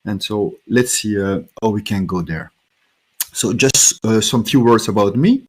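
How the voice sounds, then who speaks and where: A man's voice: on the low side, measured pace, slightly rough. A man from Belgium, a native French speaker with a French-speaking Belgian accent, giving a technical conference talk with many fillers and restarts.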